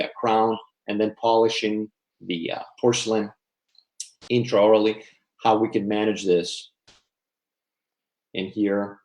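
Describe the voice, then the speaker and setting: A man with a fairly low voice gives a technical slide presentation. He explains step by step, breaking his sentences into short phrases with small pauses. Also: a little mellow, poor audio. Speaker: dental researcher giving a lecture. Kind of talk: slide presentation at online symposium.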